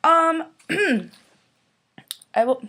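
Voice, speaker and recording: A woman's voice: extremely high pitch, lively intonation, extremely slow, pushed and bright. A woman with a head cold, sniffling as she mocks a children's book in a sarcastic, profane casual commentary. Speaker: YouTuber.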